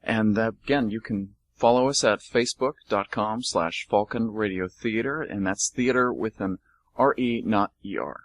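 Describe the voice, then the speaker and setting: A young man co-hosting a college radio theater show, talking in a relaxed, hesitant way with fillers. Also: fairly low pitch, normal speed, no room sound. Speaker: co-host.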